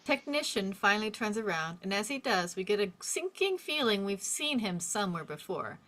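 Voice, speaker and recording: A woman narrating the stage directions at a script table read in an expressive storytelling manner. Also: medium pitch, moderate pace. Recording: faint background noise and clicks.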